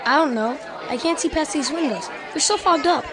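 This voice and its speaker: Woman as ten-year-old boy, high voice, wary, wisecracking, audio drama, busy noisy background. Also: a little fast, a crowd in the background, lightly acted. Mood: uneasy.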